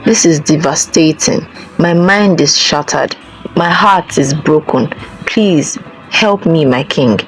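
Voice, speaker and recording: Woman reading a distraught mother in a folktale, low voice, desperate begging. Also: takes it slowly, soft background music, poor audio. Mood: grieving plea. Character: grieving, distraught village mother.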